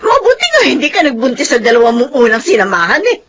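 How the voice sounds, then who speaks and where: Casting: worried Filipina mother for radio melodrama, high, very expressive pitch, pleading and gently admonishing. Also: fast, slight background noise, heavily acted. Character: caring, worried Filipina mother, middle-aged. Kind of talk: Filipino radio drama acting.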